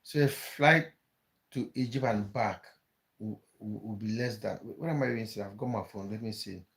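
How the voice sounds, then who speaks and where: A man's voice: on the low side, normal speed, fairly bright tone. A man from Cameroon, hosting a casual live stream, chatting with viewers and often repeating words as he thinks aloud. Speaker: livestream host.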